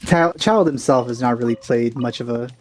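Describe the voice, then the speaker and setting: A man's voice: mid-range voice, normal speed, slight background noise. Offhand man commenting on a game. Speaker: let's play host. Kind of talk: casual gameplay commentary. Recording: game music behind.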